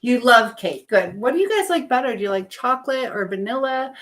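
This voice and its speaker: A woman's voice: fairly high pitch, lively intonation, a little fast. Chatty woman in an echoey kitchen. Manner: chatty, distracted, jumping between tasks and viewers. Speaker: live streamer. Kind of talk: casual chat with live viewers while cooking. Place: home kitchen. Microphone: phone livestream, moving nearer and farther. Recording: echoey kitchen with faint background noise.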